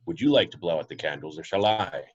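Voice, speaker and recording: A man's voice: deep voice, expressive, fast, a little hiss or hum. A man playing a formal, loyal butler, polite and deferential, in a table read on a dull home recording. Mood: discreet, courteous. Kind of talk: amateur table read of a superhero screenplay.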